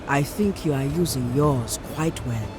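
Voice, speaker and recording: A commanding, devout priestess from a fantasy game. She speaks slowly and solemnly, with proud authority, over music. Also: very deep voice. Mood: solemn, reverent. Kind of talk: fantasy game character acting.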